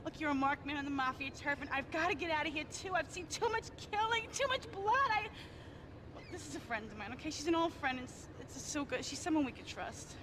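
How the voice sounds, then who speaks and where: A woman's voice: high voice, big pitch swings, fairly quick, background noise. An actress playing a flighty, dramatic young mob girlfriend who talks in a breathless, gushing, theatrical way. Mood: frantic pleading. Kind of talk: video game cutscene acting.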